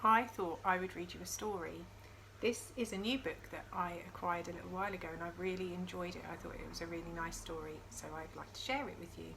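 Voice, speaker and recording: A woman reading a picture book aloud to young children in an expressive storytelling style, with chatty questions to the listeners. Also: fairly low pitch, fast talker, vocal fry, a little hiss or hum, low-quality recording. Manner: addresses young listeners with questions and dramatised sound words.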